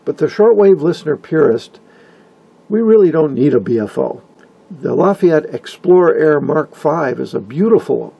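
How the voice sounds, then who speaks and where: An older man narrating informally from a script, with a husky, gravelly voice and wry, folksy asides. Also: a little high, warm tone. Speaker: hobbyist video narrator. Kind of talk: informal scripted narration about vintage radios.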